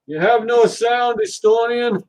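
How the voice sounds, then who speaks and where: High-voiced man chatting on a livestream. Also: unhurried, darkish tone. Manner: easygoing, chatty, talks to viewers while working. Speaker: livestream host. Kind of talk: casual livestream chat while scrapping.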